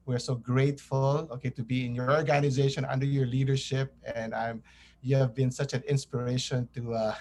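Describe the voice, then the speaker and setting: A man's voice: mid-range voice, flat delivery, fairly quick, darkish tone. A man from the Philippines with a Filipino accent, answering casually as an interview guest. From Cebu, Philippines.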